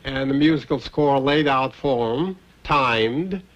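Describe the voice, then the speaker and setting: An older man reminiscing in a documentary interview, speaking in a measured, formal way. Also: mid-range voice, poor audio. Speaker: film composer interviewed as a witness. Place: interview recorded in New York.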